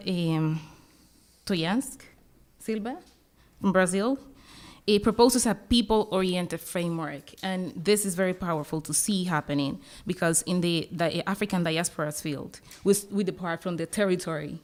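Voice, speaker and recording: A woman's voice: lowish voice, normal speed. A woman from Colombia with a Colombian accent, speaking English as a second language, giving a panel discussant's commentary with some hesitation. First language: Spanish. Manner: hesitant, with fillers and restarts. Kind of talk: academic conference commentary.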